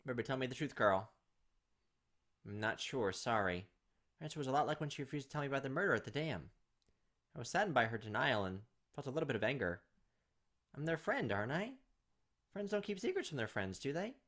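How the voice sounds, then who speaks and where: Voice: medium pitch, very animated, fast talker, pauses often, a bit bright. A gaming video host reading visual novel text aloud, dropping in casual, playful asides to the characters. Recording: music behind the voice. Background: game music.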